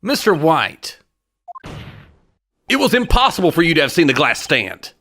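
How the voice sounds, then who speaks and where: A man's voice: on the high side, big pitch swings, normal speed, sharp tone, slight background noise. A man playing a determined young defense lawyer in a video game dub, pressing and confrontational in manner. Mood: confident, pressing. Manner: assertive, pressing. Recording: music under the voice.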